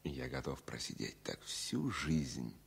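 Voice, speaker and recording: Deep-voiced anxious passenger in love. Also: male voice, sing-song, slow pace, gravelly, slight background noise, full character voice. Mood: tender. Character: man passenger in love, playful then anxious and self-important. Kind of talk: radio play acting, 1970 Soviet radio drama.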